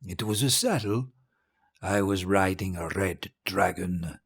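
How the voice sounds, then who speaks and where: An actor playing a cruel, vain old dictator in an audio drama, speaking in a grandiose, rambling way with a husky voice. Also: male voice, low voice, a bit bright, full character voice. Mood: weary, reminiscing. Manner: grandiose, rambling, imperious, halting. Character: cruel, vain old dictator giving his dying speech.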